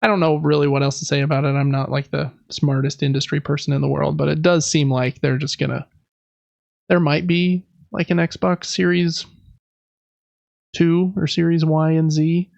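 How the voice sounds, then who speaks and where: A man with a slightly high voice and a noticeable fry hosts a casual podcast. He talks informally and conversationally, with slangy asides. The recording is dry and close, if a little dull. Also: little pitch movement, moderate pace, a little mellow, no echo. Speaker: podcast host. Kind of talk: casual podcast commentary on industry news.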